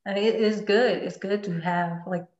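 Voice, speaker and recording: A woman hosting a podcast, talking in a reflective, soft-spoken way with many fillers. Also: mid-range voice, steady tone, unhurried. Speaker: podcast host. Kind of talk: casual podcast chat. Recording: roomy, dull lo-fi sound.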